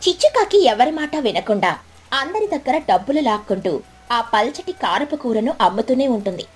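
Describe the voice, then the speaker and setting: High, husky woman narrating a children's story. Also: very animated, normal speed. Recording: background music bed.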